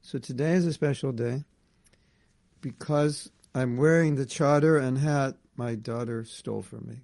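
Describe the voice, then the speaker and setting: Brief: unhurried man leading a home scripture class, chatty and loose, mid-pitched voice. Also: vocal fry, a little mellow, dry recording. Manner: casual and conversational, with restarts. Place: indoor room at home.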